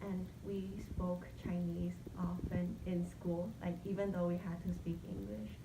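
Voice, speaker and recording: A woman's voice: fairly low pitch, a little slow. A young woman from Thailand in her twenties, chatting casually in an interview, with lots of fillers. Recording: echoey room, background noise.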